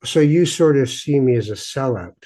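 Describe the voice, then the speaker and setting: A man's voice: medium pitch, lively intonation, normal speed, warm tone. A middle-aged man born in Morocco, hosting a podcast and telling reflective stories with frequent restarts. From Morocco (Tangier). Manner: reflective storyteller, many restarts. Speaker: podcast host. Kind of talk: casual conversation.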